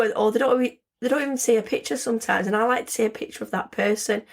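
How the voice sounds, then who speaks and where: A hoarse woman hosts her own podcast, talking on her own. She chats in a loose, rambling way, often restarting her sentences. Her voice sits a little high for a woman. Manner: chatty, rambling, frequent restarts. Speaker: podcast host. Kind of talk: casual solo podcast talk.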